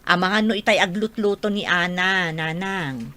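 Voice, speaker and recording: A woman's voice: lowish voice, moderate pace, bright, forceful tone. An actress playing a stern, scolding mother with an Ilocano accent in a radio drama. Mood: exasperated. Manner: scolding, emphatic. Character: stern, nagging mother of a schoolgirl. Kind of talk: Filipino radio drama acting.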